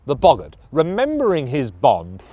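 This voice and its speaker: A man narrating a children's fairytale as a storyteller, with theatrical, deliberate phrasing. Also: on the high side, huge pitch swings, crowd noise.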